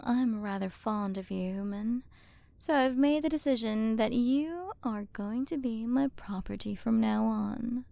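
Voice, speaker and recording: A woman playing a haughty, curious alien galaxy queen in an ASMR roleplay, soft and condescending, possessive of her human. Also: medium pitch, vocal fry, dry recording. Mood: smug, possessive. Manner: soft, condescending, teasing, at times whispered.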